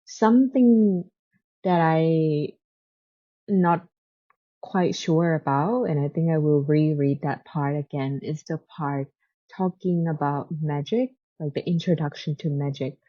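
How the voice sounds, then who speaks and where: A woman's voice: low voice, measured pace, lo-fi sound. A woman who speaks English as a second language, chatting casually and unscripted like a book vlogger, often hesitating and searching for words. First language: not English, speaks it as a second language.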